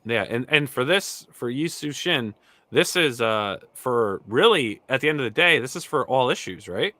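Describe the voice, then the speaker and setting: An enthusiastic man hosting a casual comics podcast. His voice is bright and lively, rising and falling a lot as he restarts his sentences. The sound is dry and close, with no room around it. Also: medium pitch, normal speed, dry recording. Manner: animated, enthusiastic, many restarts. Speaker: co-host. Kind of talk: casual video podcast chat.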